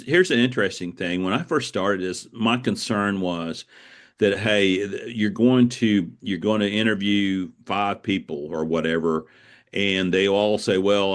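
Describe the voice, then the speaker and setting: A man with a deep, low voice talks in a reflective interview style. He is earnest and halting, circling back to repeat phrases as he tells his stories. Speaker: author being interviewed. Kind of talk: reflective conversational storytelling.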